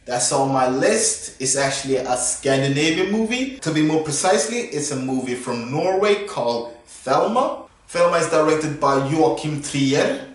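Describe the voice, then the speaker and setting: A man's voice: medium pitch, far from the mic. A man giving a casual, enthusiastic movie review vlog, rambling with fillers and restarts. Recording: very echoey room, away from the mic.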